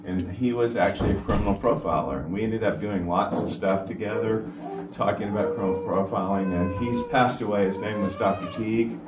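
A man, giving an informal talk to a church congregation in a flat, even-toned delivery. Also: fairly low pitch, a little fast. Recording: echoey hall from far off with noise.

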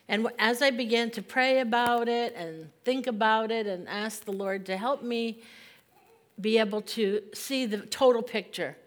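Woman, 60s, missionary speaker; earnest, reflective storytelling, mid pitch. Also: a bit bright. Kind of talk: sermon with personal testimony. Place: church service.

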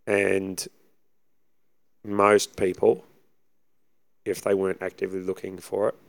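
Husky assistant pastor, low and gravelly, casual and rambling. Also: male voice, completely flat delivery, takes it slowly, no room sound. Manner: easygoing, rambling with many fillers. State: husky from preaching. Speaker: assistant pastor, podcast co-host. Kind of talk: casual chat.

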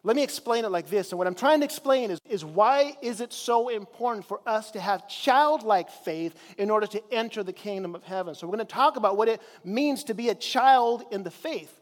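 High-voiced man preaching from Chile. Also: animated, a little fast, in a large hall. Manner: conversational preaching with stretched key words. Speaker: pastor. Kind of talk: sermon. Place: church sanctuary.

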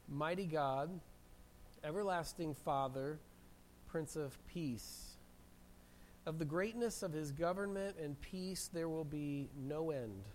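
A man preaching to his congregation, his voice a little higher than most and roughened by a cold. He keeps clearing his throat between steady sermon lines. A faint low rumble sits under the recording in the church room. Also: low-quality recording. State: hoarse from a cold. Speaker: pastor. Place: church sanctuary. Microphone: handheld mic.

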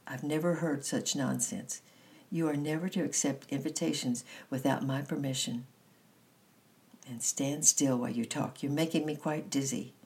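A woman's voice: low-pitched, fairly even intonation, normal speed, darkish tone. A woman reader voicing a strict, cool aunt in a children's storybook, with a stern, authoritative manner. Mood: sharp.

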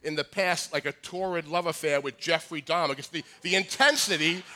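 A man in his forties with a high voice, preaching a sermon in a joking, conversational way with many restarts. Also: brisk, pushed and bright, slight background noise. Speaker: pastor. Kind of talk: sermon with humorous asides.

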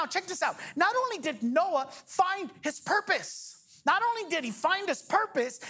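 A man in his forties preaching a sermon as pastor, energetic, joking and firing off rhetorical questions. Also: very high-pitched. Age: 40s.

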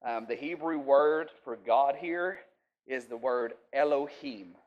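A man's voice: mid-range voice, takes it slowly, lo-fi sound. A man preaching an informal sermon in a folksy, conversational way, checking in with his listeners often. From rural US.

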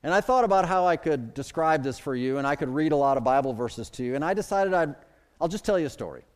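A man's voice: on the high side, animated, fast. A middle-aged man from the Chicago suburbs preaching a sermon as pastor, with emphatic swells and drops in his delivery. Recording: long hall reverb.

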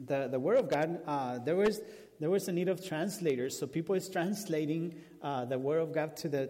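A man with a Spanish accent, whose first language is Spanish, preaching a sermon and telling a story with animated restarts. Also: fairly high pitch. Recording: long hall reverb.

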